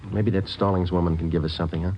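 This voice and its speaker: Actor as a low, fast-talking deadpan detective. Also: male voice, slight background noise, close to an everyday voice. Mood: matter-of-fact. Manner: clipped, matter-of-fact, deadpan. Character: terse, businesslike police detective narrating his case. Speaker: narrator and lead detective. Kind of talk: 1950s radio acting. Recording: muffled old radio recording.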